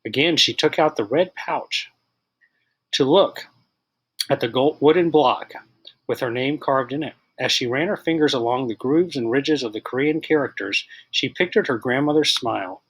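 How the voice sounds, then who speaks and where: A man's voice: medium pitch, moderate pace, fairly bright tone, slightly rough, poor audio. Man reading a children's storybook aloud.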